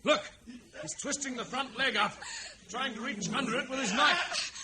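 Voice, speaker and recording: An actor playing an earnest, polite, well-spoken young gentleman in an old-time radio jungle adventure. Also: extremely high pitch, normal speed. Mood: alarmed. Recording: noisy old radio serial recording.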